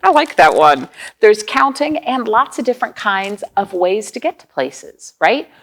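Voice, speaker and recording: Animated, unhurried woman reading to young children. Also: mid-range voice. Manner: animated, simple explanations with frequent questions to young listeners. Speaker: children's librarian hosting storytime. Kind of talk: read-aloud storytime for young children, with questions and counting.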